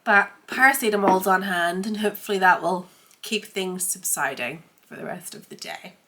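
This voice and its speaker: Woman, mid-pitched, bright tone, congested from a cold, casual chatty vlog host. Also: moderate pace, slight background noise. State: under the weather with a head cold. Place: home sewing room.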